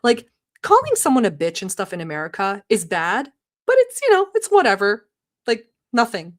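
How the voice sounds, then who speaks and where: A woman's voice: medium pitch, huge pitch swings, moderate pace. A woman from Turkey who hosts a livestream, chatting casually and rambling with many filler words, sometimes bossy and sarcastic toward her chat. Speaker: livestream reaction host.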